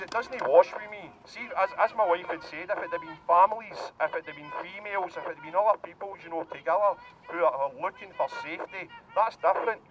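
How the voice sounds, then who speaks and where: Man rallying through a megaphone. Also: high voice. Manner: emphatic, repeats points, rallying. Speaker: local protest speaker. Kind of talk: street protest speech. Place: outdoors by a hotel car park. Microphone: handheld megaphone. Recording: distant outdoor megaphone, crowd noise.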